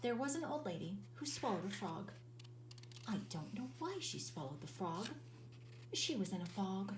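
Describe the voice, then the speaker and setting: A woman's voice: mid-range voice, noisy background. Woman teacher, children's read-aloud, bouncy sing-song voice, roomy echo, a bit far from the mic. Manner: lively, sing-song storytelling with drawn-out words.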